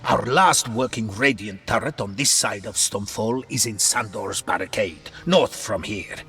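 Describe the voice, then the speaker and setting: A man's voice: on the low side, normal speed, creaky, pushed and bright. An actor playing a male officer giving urgent orders.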